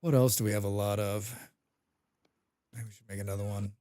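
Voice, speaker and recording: A man's voice: lowish voice, fairly even intonation, brisk, dark tone. A man with a head cold chatting casually while live-streaming a game, humming to himself and answering his chat.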